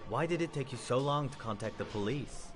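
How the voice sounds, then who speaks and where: An actor playing a stern, suspicious police lieutenant in a video game drama, questioning pointedly. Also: male voice, medium pitch, lively intonation, a little fast, background noise, lightly acted. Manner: pointed, interrogating. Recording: game music behind dialogue.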